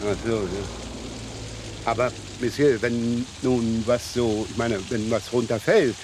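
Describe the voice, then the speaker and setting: A man's voice: medium pitch, fairly even intonation, takes it slowly, lightly acted. Crime radio drama: male actor as evasive, hesitant, over-polite man, mid-range voice, slight rainy background noise. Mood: sheepish, polite. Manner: stammering, hesitant, nervously polite. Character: flustered, evasive, deferential man disposing of a corpse. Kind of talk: German crime radio play acting.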